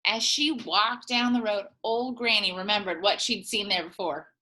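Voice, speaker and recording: A woman teacher reading a picture book aloud to young children, expressive and full of playful asides. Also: medium pitch, moderate pace, rough recording. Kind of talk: children's storytime read-aloud.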